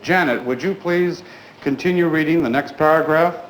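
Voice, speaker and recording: A man teaching a class in a formal, polite, deliberate way, putting questions to his pupils. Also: on the high side. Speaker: schoolteacher leading a grammar class. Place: indoors in a school classroom. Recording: echoey classroom recording with clear background noise.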